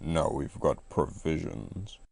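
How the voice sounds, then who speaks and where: A hulking, brutal giant of a convict, dropped very low and dragged out slow, as a woman reading a storybook plays him. Each word lands heavy and menacing. The sound is dry and close. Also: darkish tone, slightly rough, dry recording, full character voice. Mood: grim.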